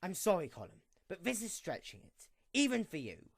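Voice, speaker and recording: A man's voice: high-pitched, wildly swooping pitch, normal speed, creaky, voice pushed up, full character voice. A man voicing an anxious, doubting young male pony sidekick in an audio drama, questioning and exasperated. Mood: skeptical. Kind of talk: fan audio drama acting.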